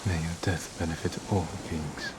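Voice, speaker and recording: Man acting deep-voiced, solemn samurai lord. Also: steady tone, background noise, natural voice. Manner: restrained, solemn, measured. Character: grave, dutiful adult samurai lord, resolute, haunted son. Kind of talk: dramatic video game acting.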